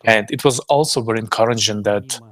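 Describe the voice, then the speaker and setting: A man's voice: on the low side, brisk, fairly bright tone. A man giving a live voice-over interpretation of someone else's remarks.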